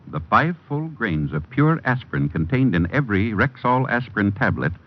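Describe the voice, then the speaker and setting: Low-voiced man, animated vintage radio pitchman. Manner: polished, persuasive sales delivery. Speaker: commercial pitchman. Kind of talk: 1940s radio advertising. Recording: muffled old radio recording.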